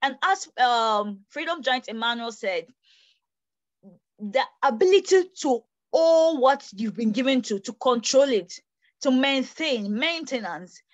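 A woman's voice: high-pitched, a little slow, dry recording, highs cut off. A young woman from Ghana hosting an online panel, speaking earnestly from a small room and restating her points as she goes. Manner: animated, gesturing, restates and self-corrects as she goes. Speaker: host of an online panel discussion. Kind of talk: earnest debate remarks. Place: small bedroom indoors. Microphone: tablet camera on a video call.

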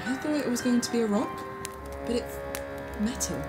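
A woman's voice: on the high side, moderate pace, close to an everyday voice. An actress playing a curious, headstrong, earnest young woman with a British accent in an audio drama. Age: young adult. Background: music.

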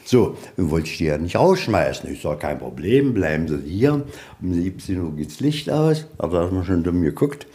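A man's voice: deep voice, sing-song, moderate pace, dark tone. A man guiding visitors through a museum in a folksy, rambling way, telling anecdotes informally. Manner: folksy, rambling storyteller, tags sentences with "ja". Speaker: museum guide. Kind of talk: informal guided tour with anecdotes. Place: inside a museum, on location.

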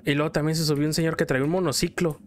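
A man's voice: fairly high pitch, flat delivery, racing, slight background noise. A man with a Mexican accent telling a story in casual, colloquial style while commenting as a gameplay host. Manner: colloquial, acts out quotes in passing.

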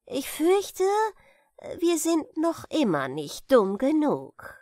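Woman playing a solemn, foolish town mayor, pitched up. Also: wildly swooping pitch, slow pace, a little mellow, no echo, clean studio sound, full character voice. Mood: contrite, solemn. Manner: solemn, ponderous, self-important. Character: earnest, contrite, foolish small-town male mayor.

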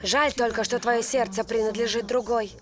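A woman's voice: fairly high pitch, fairly even intonation, normal speed, a crowd in the background, lightly acted. A woman voicing a sly, mocking teenage girl devil in a cartoon dub. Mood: scheming.

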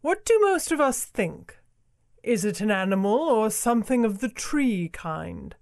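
A storybook she-elephant, acted out by a male narrator, genteel and pleased with herself. The voice is high and swooping, taking its time over every mild, politely condescending remark. The sound is close and dry. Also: sing-song, vocal fry, no room sound, exaggerated voice. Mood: thoughtful, decided. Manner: measured, politely condescending, thoughtful. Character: genteel, self-satisfied, mild-mannered female elephant. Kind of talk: storybook character voice.

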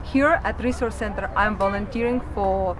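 A woman, speaking earnestly in halting English in an outdoor interview. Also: mid-range voice, background noise. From Kazakhstan. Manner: earnest, halting non-native phrasing. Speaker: volunteer teacher. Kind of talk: documentary interview. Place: outdoors at tables. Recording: music bed under the voice.

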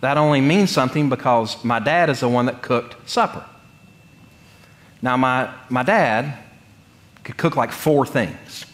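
A middle-aged man from North Carolina, preaching in a conversational, humorous storytelling way with deliberate pauses. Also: medium pitch, a bit bright. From North Carolina, United States. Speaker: pastor. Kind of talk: sermon with personal storytelling. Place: church sanctuary, speaking from the pulpit.

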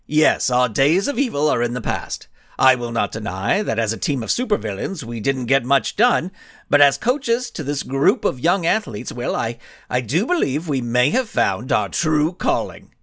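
A man's voice: medium pitch, sing-song, normal speed, a bit bright, dry recording, clean studio sound. A man voicing a cocky, grandstanding male supervillain in a storybook reading, giving smug, showy speeches.

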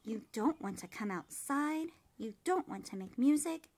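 A woman's voice: a little high, lively intonation, a little slow, a little mellow. A woman voicing a stern, bossy mother cricket in a storybook, scolding and insistent.

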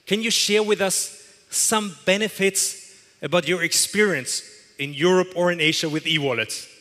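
Man, thirties, emphatic keynote, headset mic, bright tense voice, hall reverb. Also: high-pitched, normal speed. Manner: emphatic, repeats phrases for effect. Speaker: keynote presenter. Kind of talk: corporate keynote speech. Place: large event hall with audience.

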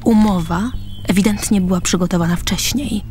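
A woman's voice: medium pitch, moderate pace, a little mellow. A woman reading scripted present-tense narration for a radio play. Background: music.

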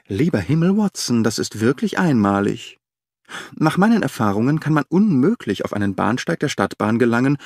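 A man voicing a sharp, analytical detective who reasons briskly and incisively in a dry recording. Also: mid-range voice, dry recording.

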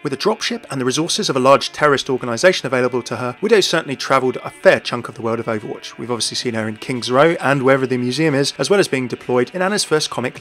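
A man's voice: medium pitch, a bit bright. A man hosting a video, reading scripted commentary on a video game. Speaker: video host.

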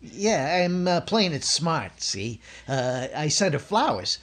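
A man's voice: on the high side, big pitch swings, takes it slowly, fairly bright tone, exaggerated voice. A man playing a hapless, brash, lovesick young suitor who stammers melodramatically in an old-time radio sitcom. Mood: nervous, hopeful.

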